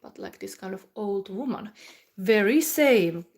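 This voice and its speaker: The Finnish woman you would find in an online tarot video, thinking aloud card by card, groping for words in halting second-language English. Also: medium pitch, expressive, a little mellow. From Finland. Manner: rambling, searches for words, halting non-native English. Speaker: tarot reader hosting a psychic reading. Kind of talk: casual spontaneous tarot reading to viewers.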